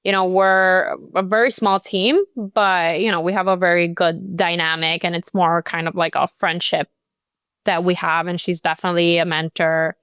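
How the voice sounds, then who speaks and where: A woman's voice: fairly low pitch, little pitch movement, moderate pace, fairly bright tone, smooth, no echo, studio quality. A young woman from Colombia, a real estate agent, chatting casually as a guest in an interview, full of "like" and "you know" fillers.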